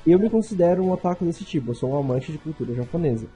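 A man's voice: a little high, lively intonation, quick pace, mellow, slightly rough, faint music behind. A young man co-hosting a casual podcast, talking emphatically and repeating himself. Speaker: podcast co-host.

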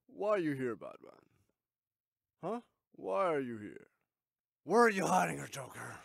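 A man voices a brooding vigilante who speaks low and gruff, almost hushed. The sound is dry and close. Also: sing-song, measured pace, dry recording, full character voice. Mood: menacing. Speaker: voice actor.

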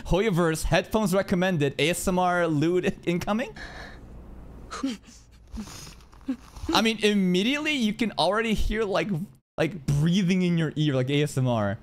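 A young man doing excitable livestream reaction commentary, slangy and crude in his joking, speaking close to a boom-arm mic. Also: unhurried, long pauses, a little hiss or hum. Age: young adult. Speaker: streamer reacting to a game trailer. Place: small indoor room. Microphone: boom-arm microphone up close.

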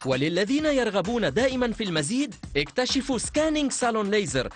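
A man doing a dubbed infomercial voice-over, delivering a fast, lively sales pitch. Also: soft background music. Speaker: advertisement voice-over.

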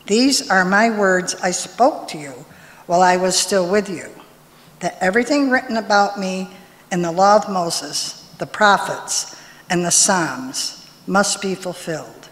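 An older woman reading scripture aloud in a church, in a careful, measured manner. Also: on the low side. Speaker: scripture reader. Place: large church sanctuary, at a lectern. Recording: long church hall reverb.

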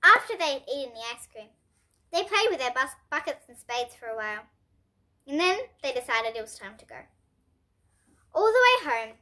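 A young girl reading a children's story aloud as host, expressively and deliberately, with dramatic pauses. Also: sits high, pushed and bright.

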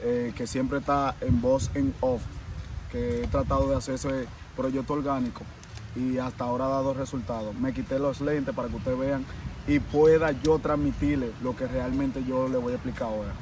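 A man's voice: mid-range voice, fairly even intonation, moderate pace, recorded from a distance, noisy background. A Dominican man with a Dominican Spanish accent, speaking earnestly in a casual interview and often repeating himself. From Dominican Republic. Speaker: interview guest, vodou practitioner.

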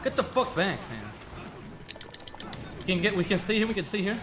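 Brief: male gamer, animated pitch, profane complaints, clear music underneath. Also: normal speed. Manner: complaining, profane, trash talk. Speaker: teammate. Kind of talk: casual gaming chat.

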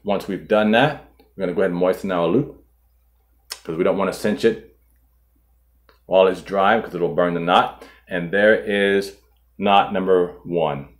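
A man in his forties, host of a fishing channel, calmly walking viewers through tying a knot step by step, filmed indoors at a table. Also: low voice, measured pace, pauses often. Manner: calm, methodical, walks through each step. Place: indoor studio in front of a boat.

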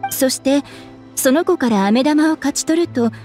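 An actress playing a calm, polite, composed young townswoman in a video game. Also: high-pitched, measured pace, over music.

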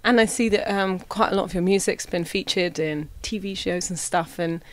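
A woman asks an interview guest chatty, friendly questions. Her voice sits a little low for a woman, and she often backs up the conversation with short agreeing sounds. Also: moderate pace.

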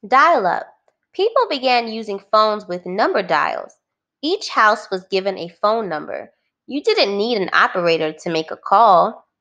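Female primary teacher for a children's read-aloud: mid-pitched, very animated intonation, clear instructive phrasing. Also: a little slow, dull audio. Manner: clearly phrased, instructive, addressing young listeners.

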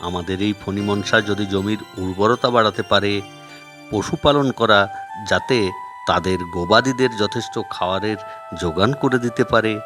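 A man voicing a composed, forward-looking villager in a cartoon, measured and approving. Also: lowish voice, lightly acted. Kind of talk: Bengali cartoon voice acting.

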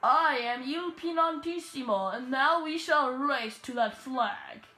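A boastful male racer with a thick put-on Russian accent, played by a woman, drawing out each word in a grand, theatrical way. The voice sits fairly high and rings in an echoey room over game music. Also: expressive, slow, bright, forceful tone, heavily acted. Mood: grandiose. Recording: roomy echo with game music.

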